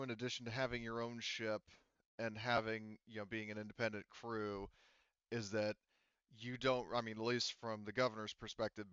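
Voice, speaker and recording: Low, clear-voiced man, slightly muffled. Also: fairly bright tone, dry recording. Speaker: game master. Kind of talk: explaining a tabletop game scenario conversationally.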